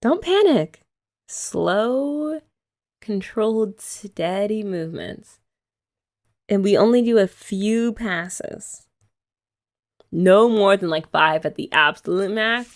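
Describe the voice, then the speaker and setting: A woman's voice: mid-range voice, lively intonation, takes it slowly, pauses often. A young woman hosting an ASMR girlfriend roleplay, chatty and giggly as she rambles. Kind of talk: soft-spoken ASMR roleplay chat.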